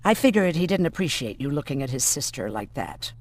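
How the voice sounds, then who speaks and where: A man's voice: mid-range voice, very animated, brisk. A man playing an abrasive, suspicious survivor in a video game, blunt and confrontational. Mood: dry, suspicious.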